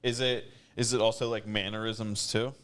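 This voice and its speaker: A man's voice: fairly low pitch, level tone, normal speed, fairly bright tone. A young adult man hosting a casual podcast chat, asking curious, joking questions. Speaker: podcast host.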